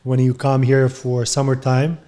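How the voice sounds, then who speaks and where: A man's voice: medium pitch, completely flat delivery, unhurried, dark tone. A man from Russia with a Russian accent, a guest on a podcast, chatting casually with loose grammar, fillers and easy laughs. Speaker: podcast guest, real estate agent. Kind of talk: casual interview talk.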